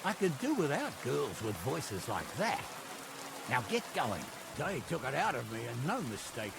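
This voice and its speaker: An actor playing a brisk, wry, middle-aged medieval lord who blusters and commands his men in a video game. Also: mid-range voice, huge pitch swings, vocal fry, background noise. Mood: brisk, commanding. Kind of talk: dramatic video game acting.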